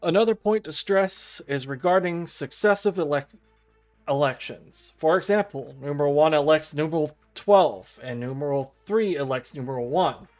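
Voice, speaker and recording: A man streaming a visual novel, reading the game text aloud in a formal, measured storytelling style. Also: fairly high pitch. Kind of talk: dramatic read-aloud narration of game text. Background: video game music.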